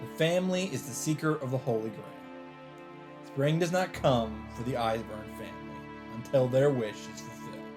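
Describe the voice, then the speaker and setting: Man reading aloud over music. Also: mid-range voice. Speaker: let's player reading a visual novel aloud. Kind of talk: dramatic read-aloud with casual commentary. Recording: background music under the voice. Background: game music.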